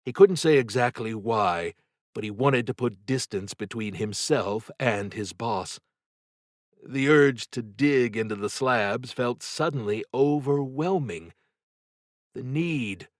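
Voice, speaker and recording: A man narrating a novel in a dramatic, deliberate and suspenseful way, with expressive scripted delivery. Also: medium pitch, no echo, clean studio sound. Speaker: audiobook narrator.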